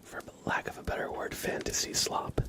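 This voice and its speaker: A man hosting an ASMR livestream, chatting softly and mostly in a breathy whisper. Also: normal speed. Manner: soft-spoken, mostly whispering. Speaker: livestream host.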